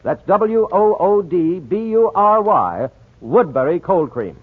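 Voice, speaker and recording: A man's voice: high voice, very animated, takes it slowly, very noisy. A man working as a radio announcer, with a polished, emphatic 1940s sales and narration delivery. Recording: tinny 1940s radio recording.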